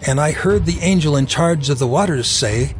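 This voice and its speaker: Solemn male narrator, slightly high voice. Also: lively intonation, normal speed, soft background music. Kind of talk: dramatized scripture narration.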